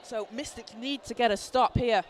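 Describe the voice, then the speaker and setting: A man, hoarse from a cold, giving excited live play-by-play basketball commentary in an indoor arena. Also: extremely high pitch, normal speed. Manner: excited, shouting calls on big plays. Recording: clear arena noise behind.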